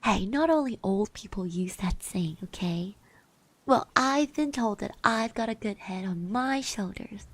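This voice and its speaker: A woman playing a shy, friendly schoolgirl classmate in an ASMR roleplay, speaking softly, gently and hesitantly. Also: mid-range voice, animated, a little slow, dry recording. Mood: mock offended. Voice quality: soft, breathy. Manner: hesitant, gentle, with little hums and trailing repeats. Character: shy, friendly high school girl classmate, admiring and easily flustered.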